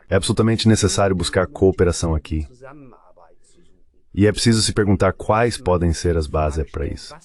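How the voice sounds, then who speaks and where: A man speaking as the translated voice of a panel guest, giving measured interview commentary on politics. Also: low voice.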